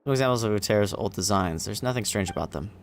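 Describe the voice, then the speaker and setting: A man's voice: fairly low pitch, fast, slight background noise. A man giving casual livestream game commentary, with wry, muttered asides to himself. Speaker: game streamer.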